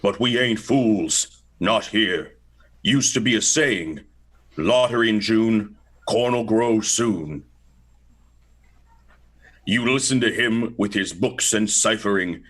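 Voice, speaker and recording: A man's voice: on the low side, takes it slowly, pauses often, a bit bright, slightly rough, heavily acted. A man playing a stubborn, folksy old village grandsire with a grumbling rustic drawl in an amateur radio play. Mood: scornful, grumbling.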